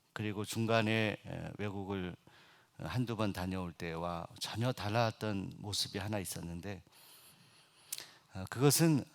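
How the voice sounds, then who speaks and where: A middle-aged man preaching a Sunday sermon as senior pastor. He hesitates often with filler sounds, then builds to loud, emphatic passages. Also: low-pitched, gravelly.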